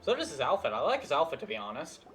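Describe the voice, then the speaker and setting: A man's voice: mid-range voice, wildly swooping pitch, very fast, over music. A man giving casual, offhand playthrough commentary as a game streamer, with a dry manner.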